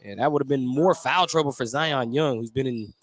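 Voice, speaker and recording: A man's voice: mid-range voice, a little fast, crowd noise. A man calling a live basketball game on the radio as the play-by-play announcer. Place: at courtside or press position in a gym during a game.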